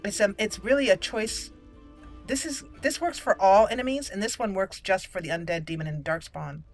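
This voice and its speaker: A woman's voice: mid-range voice, normal speed. A woman giving casual, chatty gaming commentary, thinking aloud and exclaiming as she plays. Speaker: gameplay video host. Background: game music.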